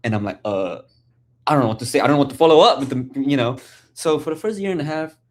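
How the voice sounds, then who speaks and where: A man's voice: medium pitch, fast. A man in his twenties from Malaysia, a guest on a podcast, talking casually and repeating words as he searches for them. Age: 20s. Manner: relaxed, chatty, repeats words when searching. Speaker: guest, sports photographer. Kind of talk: casual conversation.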